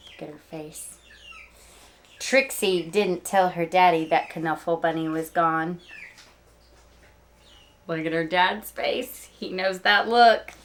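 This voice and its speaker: A woman reading a picture book aloud to young listeners, expressive and speaking to them directly with small asides. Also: lowish voice, slow pace, long pauses. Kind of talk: children's read-aloud storytelling with asides.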